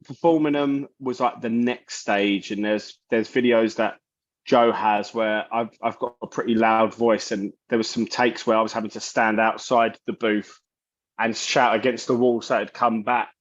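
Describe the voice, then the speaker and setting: A man who fronts a metal band talks about his songs slowly and haltingly, restarting his sentences as he hunts for the words. His voice comes through dull and slightly lo-fi, with a dry, close sound. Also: lowish voice, steady tone, no room sound. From England. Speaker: metal band vocalist and bassist. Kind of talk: candid interview answers.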